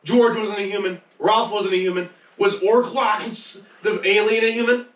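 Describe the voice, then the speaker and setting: A man's voice: high-pitched, fairly even intonation, normal speed, a bit bright. A man on a podcast panel, bantering casually with slangy, profane talk. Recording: roomy echo, distant mic.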